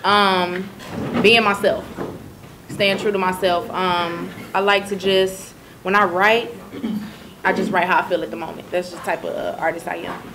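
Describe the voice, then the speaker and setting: A woman's voice: fairly low pitch, little pitch movement, normal speed. A woman talking casually as a music artist on a panel, with noise in the background.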